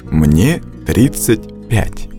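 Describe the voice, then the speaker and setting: A man reading scripted narration for a language course, with slow, deliberate instructional delivery and a close studio mic. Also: lowish voice, big pitch swings, mellow, soft background music.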